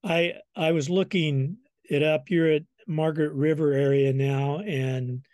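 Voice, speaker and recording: A man's voice: fairly high pitch, steady tone, measured pace, a little mellow, dry recording. A man from Southern Illinois with an American accent, hosting and asking questions in a conversational interview style. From Southern Illinois, United States. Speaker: interviewer, host.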